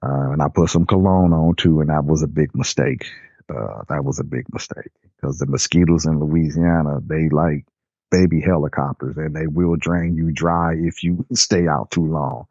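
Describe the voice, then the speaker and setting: An older man from Nebraska telling a personal story in a relaxed, rambling way with casual slang. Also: very deep voice, normal speed, slightly rough, no room sound, highs cut off. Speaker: storyteller guest. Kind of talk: personal storytelling, recounting a memory.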